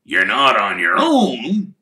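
A towering, gruff gamekeeper with a rough, growling voice that breaks into loud, booming outbursts, as a man puts him on. His pitch swings widely between hesitant fillers and shouts, and the reading carries a roomy echo. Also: wildly swooping pitch, slow pace, exaggerated voice. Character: huge gruff half-giant gamekeeper, big-hearted man. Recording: echoey room.

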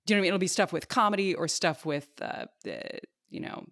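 A woman's voice: fairly low pitch, fast, a bit bright. A middle-aged woman in casual podcast conversation, explaining her points with imagined quotes, close on a microphone at home. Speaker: podcast co-host and actor. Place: home kitchen. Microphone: close podcast microphone, headphones.

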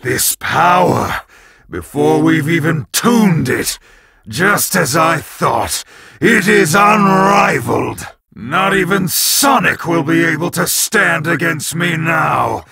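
An actor playing an arrogant, power-drunk jackal villain in a video game, husky and breathy, with haughty, menacing, deliberate taunts. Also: male voice, a little high, expressive, sharp tone, full character voice. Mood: exultant, power-mad.

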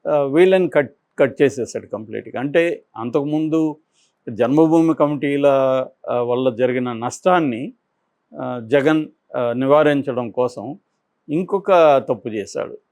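Guy doing political analysis off the cuff, stops and starts, medium pitch, dry close sound. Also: a little slow, pauses often, darkish tone, no room sound. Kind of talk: unscripted political commentary.

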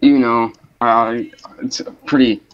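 A young man casually telling a story in a halting way, full of "like", over voice chat. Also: mid-range voice, fairly even intonation. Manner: halting, peppered with "like". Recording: dull lo-fi voice chat.

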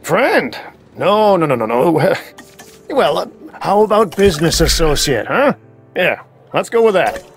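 A woman's voice: fairly low pitch, sing-song, a little slow, soft background music. An actress playing a wry, wary female park manager in a video game, talking in a sardonic, conversational way. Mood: playful, evasive.

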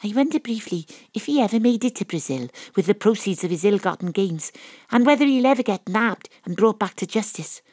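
A woman's voice: medium pitch, lively intonation, brisk, a little mellow, husky, no room sound. A woman playing a wry, poetic private detective with a Welsh accent, narrating an audio drama in a theatrical, literary way. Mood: wry, reflective. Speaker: narrator and lead character. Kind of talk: first-person audio drama narration and acting.

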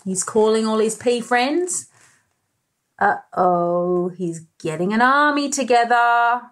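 An Australian-accented woman, a preschool teacher in her thirties, reading a picture book aloud expressively with questions and deliberate emphasis. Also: a little high, expressive. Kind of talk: picture-book read-aloud for young children. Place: small room indoors by a window.

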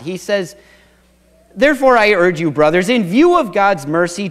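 A middle-aged man preaches from the pulpit of a church sanctuary, building to earnest swells of emphasis. His voice sits a little on the high side for a man. The sound is picked up from a distance, and long reverb from the sanctuary trails after his phrases. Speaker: pastor. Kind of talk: sermon.

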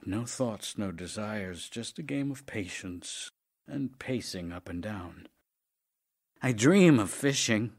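An actor playing an ailing, tender, wry writer reading his love letters aloud in an audio drama, in a theatrical, intimate manner. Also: fairly low pitch. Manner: theatrical, wry, intimate, shifting from emphatic to hushed.

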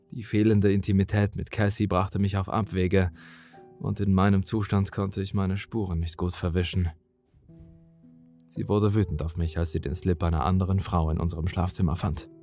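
A man narrating a scripted horror story in a dramatic, brooding, deliberate manner. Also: low-pitched, steady tone, a little mellow, faint music behind.

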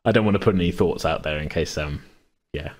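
A man's voice: low voice, fairly quick. A man hosting a casual, unscripted podcast chat, speaking in a hesitant, circling way. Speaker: podcast host and game master.

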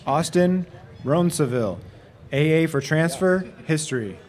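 A man announcing graduates' names and degrees at an outdoor commencement in a steady, formal way over a podium microphone. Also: a little high, measured pace. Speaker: graduation name reader. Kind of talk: reading graduate names at a ceremony. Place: outdoor stadium commencement stage.

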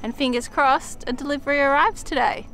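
A woman's voice: high-pitched, a little fast, noisy background. A woman with an Australian accent, narrating a travel vlog outdoors in a cheerful, easygoing way. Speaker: family travel vlogger. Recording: music playing underneath.

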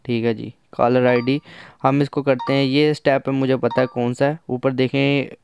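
A man's voice: mid-range voice, little pitch movement, normal speed, vocal fry, darkish tone, a little hiss or hum. A man giving a casual hands-on app tutorial, conversational and instructional, mixing in English terms. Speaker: tech app reviewer.

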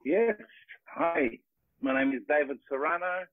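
A man's voice: on the high side, a little slow, dry recording. An Australian man in his forties, a guest introducing himself casually over a remote call. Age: 40s. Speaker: interview guest, cryptocurrency project team member. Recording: muffled phone-like internet call.

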